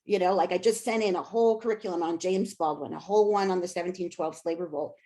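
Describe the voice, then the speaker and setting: An American woman with a medium-pitched voice speaks as a scholar in an interview. Her delivery is informal, as she thinks aloud and often breaks off to restart a thought. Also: fast talker, dark tone. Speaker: scholar and author. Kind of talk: casual interview answers.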